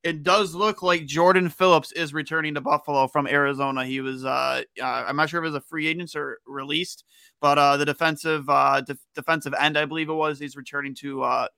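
American male podcast host. Very forceful, tense, high voice with dry sound, excitable and halting sports talk. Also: vocal fry, no room sound.

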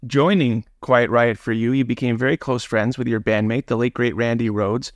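A man's voice: mid-range voice, steady tone, normal speed, dry recording. A man hosting an interview, asking direct, prepared questions. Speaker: interviewer, host.

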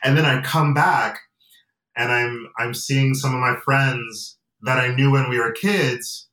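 A young man from Atlanta and Nigeria, a guest in a casual interview, talking reflectively with many pauses and fillers. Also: mid-range voice, fairly even intonation, pushed and bright, distant mic. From United States and Nigeria. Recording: roomy and off-mic.

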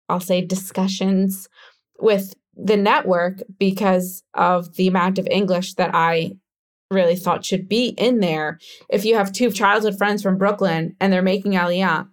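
An American woman who created and stars in a show, answering interview questions in a candid, chatty way with many filler words. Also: medium pitch. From United States. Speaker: show creator and lead actor.

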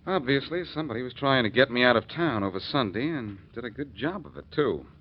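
An actor playing a confident, wry amateur detective in a 1940s radio drama, brisk and probing. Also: male voice, mid-range voice, animated, slightly rough. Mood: wry, easygoing. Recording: dull, noisy old 1940s radio recording.

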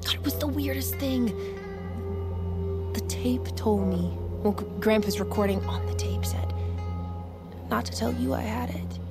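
A woman's voice: fairly high pitch, a little slow, vocal fry, long pauses, over loud music. A young woman with an American accent playing an earnest, uneasy army recruit in an audio drama. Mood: urgent, confused. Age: young adult. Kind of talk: naturalistic audio drama acting.

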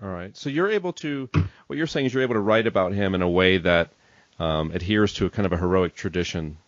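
A man with a deep voice hosts a casual interview. He phrases things tentatively and circles toward his point. The recording is dry, with a dull, lo-fi tone. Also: fast talker, dry recording. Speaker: podcast host, interviewer. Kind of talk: casual, unscripted interview questions. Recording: dry but dull, lo-fi audio.

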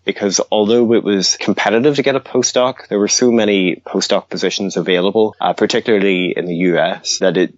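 A man in his thirties with a very low voice answers an interviewer's questions, pausing often with ums and starting sentences over. The sound is dry and slightly muffled. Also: steady tone, dry recording. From Northern Ireland. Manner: hesitant, many ums and restarts. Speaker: guest scientist.

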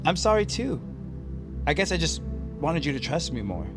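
A loyal, excitable young buddy in an audio drama. He talks in boyish slang with a raised, very lively voice over a clear music bed. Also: a little high, moderate pace. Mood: friendly. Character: excitable, loyal, goofy young metalworker sidekick.

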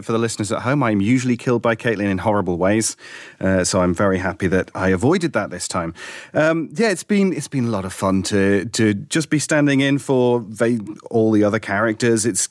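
A man's voice: fairly low pitch, fairly quick, dry recording. Man, dry close sound, playfully polite. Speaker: voice actor. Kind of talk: casual panel chat.

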